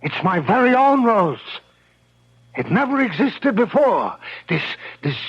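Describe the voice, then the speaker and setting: Slow grave old immigrant dad, muffled old radio sound. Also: male voice, high voice, sing-song, breathy edge, exaggerated voice. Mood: impassioned. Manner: slow, heavy, grave delivery. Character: old immigrant gardener father, brooding and proud. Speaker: narrator and lead character. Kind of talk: 1970s radio drama acting. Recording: dull lo-fi radio broadcast with music.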